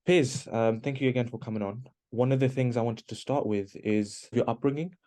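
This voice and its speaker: Man, podcast host, halting casual questions, mid-pitched voice. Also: fairly even intonation, mellow.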